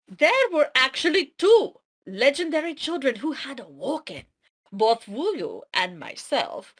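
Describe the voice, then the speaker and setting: A woman playing an earnest young female scientist in an amateur anime-style drama read, with deliberate, dramatic delivery. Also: sits high, very animated, heavily acted. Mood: earnest, challenging.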